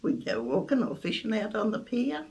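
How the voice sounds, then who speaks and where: Woman in her nineties, high animated voice with gravel, mic set back. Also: normal speed, distant mic. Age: 90s. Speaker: interviewee. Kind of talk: reminiscing interview.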